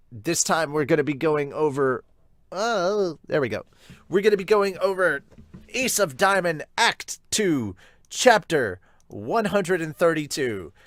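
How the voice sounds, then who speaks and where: A man hosting a manga review, giving casual read-along commentary with amused, excitable asides. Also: on the high side, no room sound, studio quality. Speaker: host of a manga review podcast.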